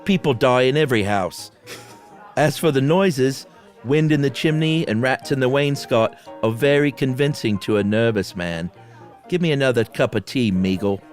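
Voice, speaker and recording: A man voicing a stuffy, sceptical English gentleman, slow and emphatic, with a put-on British accent. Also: medium pitch, soft background music, close to an everyday voice. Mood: dismissive. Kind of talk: amateur dramatic reading.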